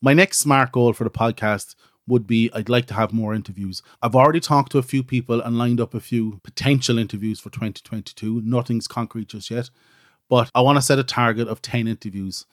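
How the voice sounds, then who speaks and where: A man's voice: mid-range voice, fairly even intonation, a little fast, no echo, clean studio sound. A middle-aged Irish man hosting his own podcast in a casual, rambling, self-deprecating chat full of "so" and "you know". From Ireland. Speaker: podcast host.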